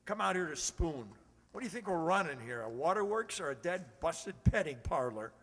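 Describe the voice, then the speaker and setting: An actor playing a cranky, spluttering old waterworks superintendent who grumbles folksy oaths in an old-time radio comedy. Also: male voice, a little high, sing-song, brisk, fairly bright tone, full character voice. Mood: cranky, exasperated. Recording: reverberant hall, stage re-enactment.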